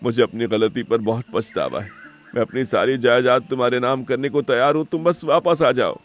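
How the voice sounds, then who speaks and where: A man's voice: mid-range voice, fairly quick, soft background music, lightly acted. Man voicing a guilty, imploring elder brother. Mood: remorseful, pleading. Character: greedy guilty elder brother, pleading villain. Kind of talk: melodramatic cartoon moral-story acting.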